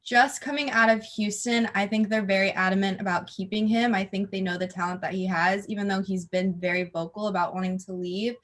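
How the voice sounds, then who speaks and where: A young woman with a mid-range voice speaks as a guest in casual sports talk. She lays out her opinions steadily, often pausing with "um". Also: medium pitch, steady tone, a bit bright. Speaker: podcast guest.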